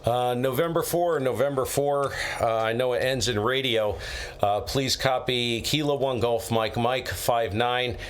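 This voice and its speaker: A man's voice: medium pitch, a little slow. A man operating amateur radio during a contest, courteously giving call signs in phonetic letters and procedural phrases. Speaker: amateur radio operator, live stream host. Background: radio static.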